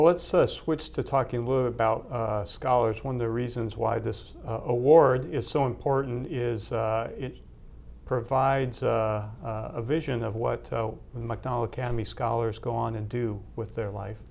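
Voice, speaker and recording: A man's voice: medium pitch, creaky, a little mellow, slight background noise. A man conducting a formal interview, asking polite questions with frequent hesitations. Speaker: interviewer, university leader.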